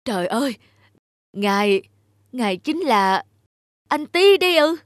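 A woman voicing a kindly, humble elderly village neighbour in an animated folk tale, gentle and exclamatory. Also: on the high side, a little slow, no echo, clean studio sound. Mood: astonished. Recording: music behind the voice.

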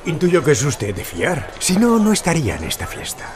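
An actor playing a discreet, courteous Lebanese antiquities smuggler with a polite, guarded manner in an audio drama. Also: male voice, a little high, wildly swooping pitch, moderate pace, music in the background. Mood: insinuating.

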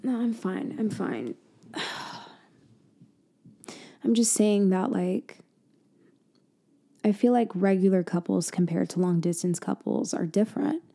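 Slow, gravelly-voiced woman half-whispering. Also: fairly even intonation. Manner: soft, intimate, drifting between low voice and whisper; hesitant, trailing off and restarting. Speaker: ASMR roleplay creator. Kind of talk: girlfriend roleplay phone call ASMR.

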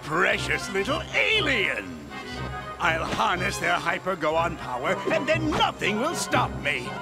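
An actor playing a pompous, irritable villainous scientist who gloats theatrically in an animated game cutscene. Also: male voice, high-pitched, a little slow, full character voice. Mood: gloating. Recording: loud music behind.

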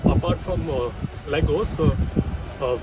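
A man's voice: on the high side, measured pace, over loud music, low-quality recording. A man in his thirties from India, talking casually to camera outdoors, hesitant with many fillers. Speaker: business agent in Portugal. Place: outdoors in a city street. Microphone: phone at arm's length.

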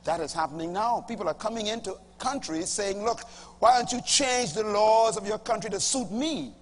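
Emphatic Bahamian preacher in a big hall. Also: male voice, moderate pace. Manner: emphatic, swings from loud bursts to low asides. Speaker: pastor. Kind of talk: sermon. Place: large church auditorium with a congregation. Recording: reverberant hall, faint hum.

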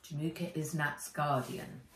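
Slow British woman reader, roomy sound. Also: low voice, steady tone, a bit bright. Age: middle-aged. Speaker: children's author reading her own book. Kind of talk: storybook read-aloud. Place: bright room by garden windows, indoors. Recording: roomy, a little distant from mic.

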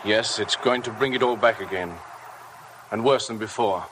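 A man's voice: on the low side, normal speed, close to an everyday voice. An actor playing a worried, dutiful estate man in a 1950s radio drama. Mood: grave, worried. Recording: noisy old 1950 radio broadcast recording.

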